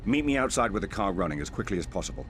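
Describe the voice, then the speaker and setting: An actor playing a suave, commanding British secret agent in a video game, dry, terse and assured. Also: male voice, low voice, very animated, very fast, fairly bright tone. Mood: urgent, commanding. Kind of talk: cinematic video game acting. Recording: music behind, vehicle noise.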